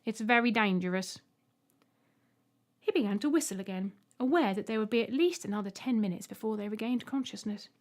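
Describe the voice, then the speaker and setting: A woman's voice: medium pitch, fast talker, hardly any pauses, mellow. A woman reading a scripted short story aloud as an audiobook narrator.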